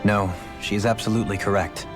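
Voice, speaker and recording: A man voicing a refined, melancholy former lord in a video game, formal and eloquent, quick to blame himself. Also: on the low side, little pitch movement, close to an everyday voice. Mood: somber, self-blaming. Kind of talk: dramatic video game voice acting. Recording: music under the voice.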